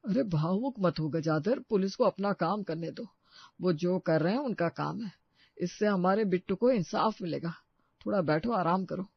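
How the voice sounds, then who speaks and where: Casting: man playing older family patriarch, notably high voice, earnest and grieving, cartoon moral tale, dry slightly dull recording. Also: normal speed, creaky, breathy edge, dry recording, heavily acted. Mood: placating. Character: grieving, placating elderly family father mourning his Bittu. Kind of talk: Hindi moral story cartoon voice acting.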